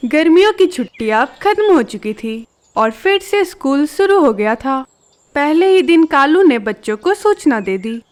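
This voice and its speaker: High-pitched woman narrating a children's story. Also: sing-song, normal speed.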